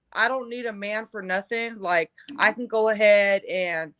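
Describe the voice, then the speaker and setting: A woman's voice: fairly high pitch, pushed and bright, smooth, dry recording. A woman in her forties telling her personal story as an interview guest, conversational, emphatic and self-assured. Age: 40s.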